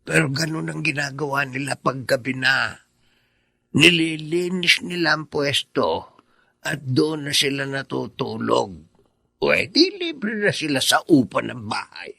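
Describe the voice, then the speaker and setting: A man in a Filipino radio drama playing a plain-spoken, sympathetic neighbour, with a gravelly, husky voice and a folksy manner. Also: medium pitch, expressive, measured pace, bright, forceful tone, no room sound, studio quality, full character voice. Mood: sympathetic, advising.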